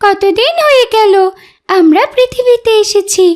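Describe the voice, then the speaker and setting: Very high-pitched childlike duckling. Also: female voice, measured pace. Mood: eager, coaxing. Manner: pleading, pouty, childlike. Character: curious, headstrong little female duckling, restless and naive. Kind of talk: animated fairy-tale voice acting.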